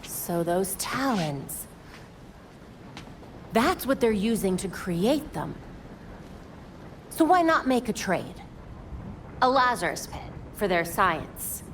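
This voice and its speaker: An actress playing a young female vigilante hero in a video game cutscene, blunt, sharp and sarcastic. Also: mid-range voice, big pitch swings, slow, long pauses, a little hiss or hum. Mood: suspicious, probing.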